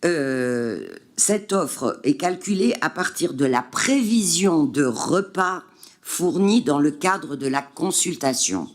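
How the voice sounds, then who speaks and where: Male councillor, slow, halting delivery, slightly high voice. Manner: hesitant, many fillers and restarts, reading figures. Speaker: city councillor answering questions. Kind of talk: council meeting presentation.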